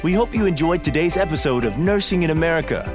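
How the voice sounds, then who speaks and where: A man's voice: on the high side, lively intonation, fast. A man reading a scripted promotional podcast outro as an announcer, addressing listeners directly. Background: music bed.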